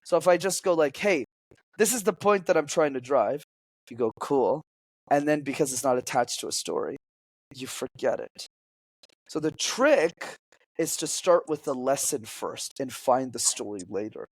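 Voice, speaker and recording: A young man who grew up speaking French, explaining storytelling as a public speaking coach in an energetic, teacherly way as a podcast guest. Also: medium pitch, moderate pace, no room sound.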